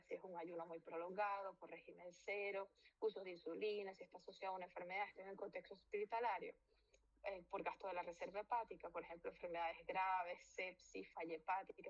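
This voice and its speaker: A woman physician from Venezuela walks students through a medical lecture, talking loosely and correcting herself along the way. Her voice has a gravelly, fried edge at a middling pitch. The recording sounds dull and lo-fi. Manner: informal, with fillers and self-corrections.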